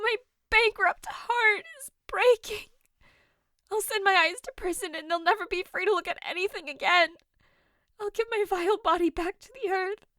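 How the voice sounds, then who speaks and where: A woman's voice: very high-pitched, bright, forceful tone, dry recording, clean studio sound. A woman playing a passionate, desperate young newlywed noblewoman in an audio drama, declaiming theatrically. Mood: despairing. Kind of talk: audio drama acting of modernized Shakespeare.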